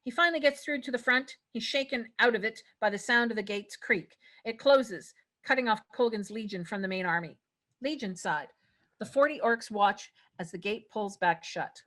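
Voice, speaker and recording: A woman's voice: a little high, moderate pace, fairly bright tone. A woman reading stage directions as scripted narration at a table read.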